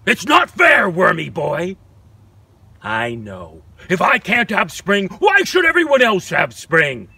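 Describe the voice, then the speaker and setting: A man voicing a greedy, sulking dragon that shouts petulantly. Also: sits high, huge pitch swings, slow pace, gravelly, husky, no room sound, heavily acted. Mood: sulky angry.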